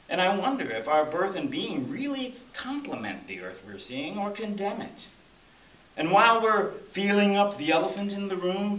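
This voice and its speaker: Distant echoey recording with faint hiss, middle-aged American man, poetry recital, highly varied intonation, dramatic rhythm. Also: bright, forceful tone. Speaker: poet reading his own work. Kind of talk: spoken-word poetry recital. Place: public library room at a poetry reading.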